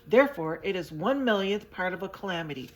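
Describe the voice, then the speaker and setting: A woman's voice: fairly low pitch, lively intonation, brisk, natural voice. A woman voicing a fussy, pessimistic little man who complains and wails about everything. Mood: fretful. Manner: whining, complaining, wailing.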